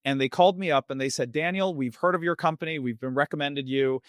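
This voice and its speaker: A man from Sweden with an American accent tells his story as a podcast guest. He acts out old conversations with a lively, animated delivery. The recording is close and dry, with no room sound. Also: on the high side, fast, smooth, dry recording. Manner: animated, acts out both sides of past conversations.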